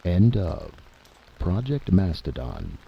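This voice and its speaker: A man with a gravelly voice reading a dramatic scripted story as an audiobook narrator. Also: low voice, huge pitch swings, slow pace, muffled recording, slight background noise.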